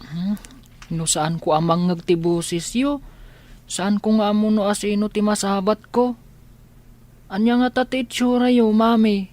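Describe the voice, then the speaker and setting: A woman's voice: medium pitch, steady tone, a little slow, long pauses, darkish tone, natural voice. A woman playing a curious, innocent young boy in a radio drama, with an Ilocano accent.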